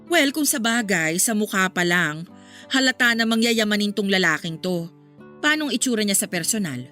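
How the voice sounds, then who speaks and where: A woman's voice: medium pitch, animated, normal speed, sharp tone. A woman playing a nosy, playfully blunt young friend who teases and gossips in a radio drama. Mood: blunt, impressed. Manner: gossipy, exclamatory, teasing. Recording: music under the voice.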